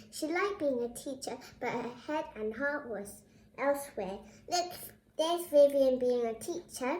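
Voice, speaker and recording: A high, young child's voice reads a storybook aloud as host of the reading. The delivery is presenterly and speaks straight to viewers. The sound is echoey, as in a reverberant room. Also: darkish tone. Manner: presenterly, addresses viewers directly. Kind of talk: read-aloud storybook narration with brief asides. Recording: roomy, echoing indoor sound.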